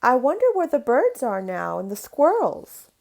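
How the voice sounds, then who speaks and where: A woman's voice: on the high side, wildly swooping pitch, normal speed, gravelly, a little mellow, voice pushed up, full character voice. Cautious young boy for a storybook, read by a woman, earnest and full of wonder.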